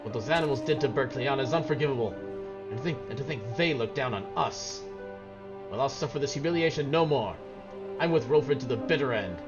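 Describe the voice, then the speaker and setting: A man's voice: medium pitch, a little fast, dull audio, over music, voice pushed up, lightly acted. Man playing an angry, defiant young rebel. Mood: bitter, defiant. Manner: vehement, declamatory.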